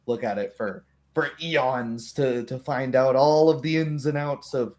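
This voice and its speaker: A man in a relaxed group chat, talking as the game master. His voice sits at an average pitch for a man, and he explains himself with analogies and quick acted-out examples. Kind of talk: casual chat.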